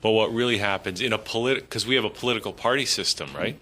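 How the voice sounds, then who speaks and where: Low, forceful man. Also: fast. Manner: reasoned, explanatory. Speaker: panel guest. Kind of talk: panel discussion.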